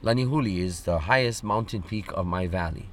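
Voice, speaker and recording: A man from Oahu giving a measured, earnest personal account as a radio guest. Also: low-pitched, a little hiss or hum. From Oahu, Hawaii. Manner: measured, earnest, lists things in series.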